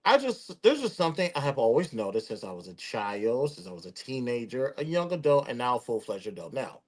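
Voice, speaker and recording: A man's voice: mid-range voice, very animated, a little fast. A man in his late thirties hosting a live talk show, speaking in a casual, joking way. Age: 30s. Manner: joking, teasing. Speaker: host.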